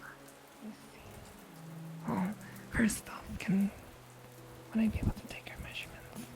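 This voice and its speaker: A woman playing a timid, polite seamstress in a live fantasy roleplay, speaking softly and haltingly. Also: mid-range voice, flat delivery, creaky, whispered. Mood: shy, polite. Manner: soft, hesitant, halting. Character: timid, polite young seamstress taking orders.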